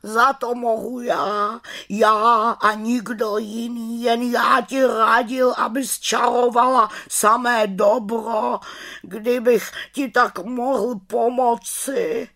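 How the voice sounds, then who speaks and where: A woman's voice: on the high side, fairly even intonation, pushed and bright, pitched above the performer's own voice. A woman voicing a remorseful, devoted talking raven in a storybook reading. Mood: anguished. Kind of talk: storybook character voice.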